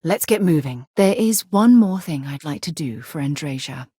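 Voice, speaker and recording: An actress playing a principled, commanding middle-aged woman explorer and former naval officer in a video game, speaking in a crisp, articulate, earnest way. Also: deep voice, expressive, moderate pace, dark tone, no room sound, studio quality. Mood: composed, earnest. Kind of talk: acted video game companion dialogue.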